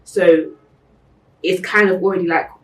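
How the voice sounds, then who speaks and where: A woman's voice: on the low side, steady tone, a little slow, reverberant, distant mic, rough recording. A young woman from co-hosting a podcast, talking in an earnest, reflective way. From Britain. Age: young adult. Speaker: podcast co-host. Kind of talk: casual chat.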